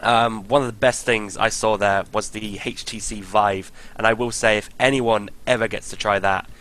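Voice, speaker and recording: Croaky-voiced man chatting as a guest. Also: fairly low pitch, fairly even intonation, normal speed, a bit bright. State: croaky from illness. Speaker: podcast guest. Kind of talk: casual chat.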